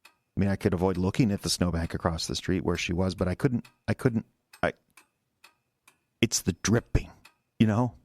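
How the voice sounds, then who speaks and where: A man's voice: deep voice, normal speed, pauses often, darkish tone, dry recording. An actor playing an agitated, confused man making a confession in an audio horror drama, stammering and repeating himself. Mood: haunted, fixated. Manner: stammering, repetitive, pleading.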